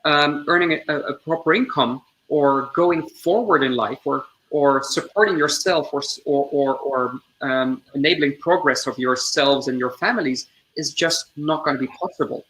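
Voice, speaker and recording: Bright-voiced earnest Dutch-born man. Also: a little high, moderate pace, hardly any pauses, low-quality recording. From Netherlands. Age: 40s. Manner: earnest, explanatory, builds points step by step. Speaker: guest, social entrepreneur. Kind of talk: webinar storytelling and explanation. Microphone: video call.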